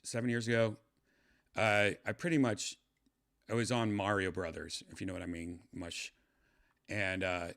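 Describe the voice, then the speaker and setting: A man in his fifties with a lowish voice talks loosely to his livestream chat. He meanders, trails off mid-thought and restarts his sentences, filling the gaps with ums and uhs. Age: 50s. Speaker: livestream host. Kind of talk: rambling casual livestream chat.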